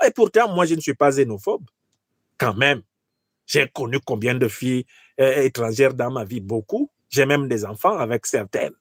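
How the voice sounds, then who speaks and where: A man playing an insecure, sheepish military president in a satirical skit, halting and repeating his phrases as he confesses and pleads. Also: medium pitch, lively intonation, breathy edge, dry recording, studio quality, pitched below the performer's own voice. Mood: aggrieved.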